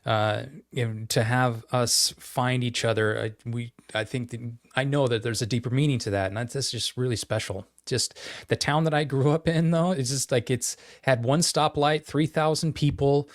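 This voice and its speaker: A man in his late forties from Idaho, co-hosting a podcast from his home office, talking in an earnest, halting way as he searches for words. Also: mid-range voice, no room sound, clean studio sound. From Idaho, United States. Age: 40s. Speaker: podcast co-host. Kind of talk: casual conversation.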